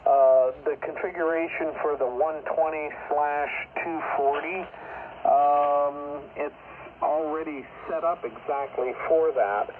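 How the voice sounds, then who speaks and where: A man with a slightly high voice talks casually and technically over shortwave radio. The signal is narrow and tinny, with faint static behind him. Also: fairly even intonation, slow pace. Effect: two-way shortwave radio. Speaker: ham radio operator.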